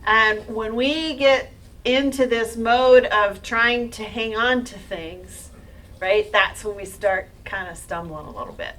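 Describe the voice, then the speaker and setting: A woman's voice: fairly high pitch, takes it slowly, fairly bright tone, far from the mic, slight background noise, poor audio. Woman explaining, heard from afar. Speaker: presenter. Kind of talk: live talk to an audience.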